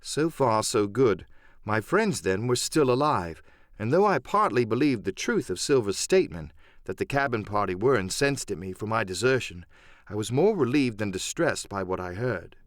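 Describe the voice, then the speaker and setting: Expressive male audiobook narrator, dry sound. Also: fairly low pitch, normal speed, dry recording. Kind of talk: dramatic first-person scripted narration.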